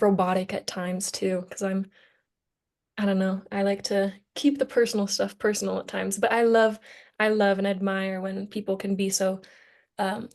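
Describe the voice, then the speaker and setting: A young woman speaks in an informal, conversational podcast style. Her voice sits at an average pitch for a woman, and she talks as one student co-host to others. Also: creaky, darkish tone. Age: young adult. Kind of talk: casual podcast discussion.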